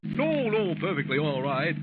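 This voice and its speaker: An actor playing a rambling, cheerful, chuckling driver in an old-style radio drama. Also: male voice, fairly high pitch, big pitch swings, normal speed, loud music behind the voice. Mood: chatty, genial. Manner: folksy, chuckling, talkative. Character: rambling, cheerful, chuckling man driving his big car. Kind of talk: 1940s radio acting. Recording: noisy, dull old radio recording.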